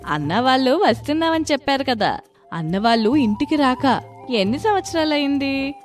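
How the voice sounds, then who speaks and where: A woman's voice: sits high, animated, normal speed, faint music behind. A woman voicing a cheerful, chatty village neighbour in a story, friendly and inquisitive. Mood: excited, friendly. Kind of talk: storybook voice acting.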